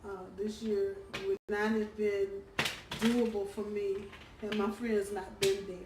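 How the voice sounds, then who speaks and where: A hoarse woman giving a casual weekly tarot reading, chatty and full of ums and uhs. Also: medium pitch, flat delivery, a little slow. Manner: chatty, warm-hearted, lots of ums and uhs. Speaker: tarot reader. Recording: roomy, distant from the mic, faint background hum.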